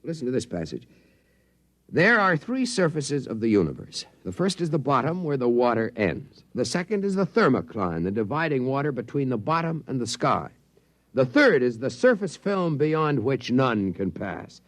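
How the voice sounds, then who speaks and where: An actor playing a devoted visionary scientist in 1950s radio drama style, earnest and declamatory. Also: male voice, mid-range voice, very animated, moderate pace, no echo, lightly acted. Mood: earnest, intent. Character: devoted visionary male scientist of a tiny underwater race.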